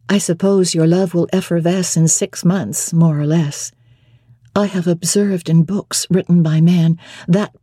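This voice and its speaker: A woman's voice: on the low side, mellow, slightly rough, no room sound, studio quality. A woman playing an earnest, proud young bride-to-be in a classic novel reading, speaking formally and candidly.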